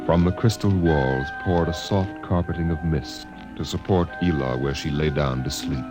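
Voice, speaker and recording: A man's voice: very deep voice, moderate pace, music in the background. A man working as narrator, reading scripted science fiction in a dramatic storytelling style.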